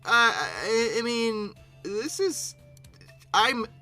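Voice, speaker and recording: A YouTube host giving casual, humorous reaction commentary in a halting, bemused way, often repeating words. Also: mid-range voice, very animated, sharp tone, faint music behind.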